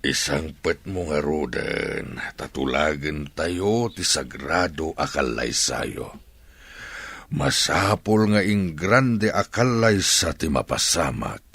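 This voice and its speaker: An actor with an Ilocano accent playing an aging, hearty and fond rural father in a radio drama, his voice gravelly and husky. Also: extremely low pitch, lively intonation, takes it slowly, fairly bright tone, no echo, exaggerated voice. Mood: pleased, fatherly.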